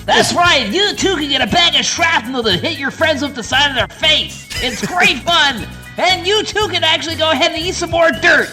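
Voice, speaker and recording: A man putting on a brash, mocking game-show host with a loud, exaggerated announcer delivery. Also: very high voice, expressive, normal speed, few pauses, soft background music, full character voice. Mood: hammy announcer.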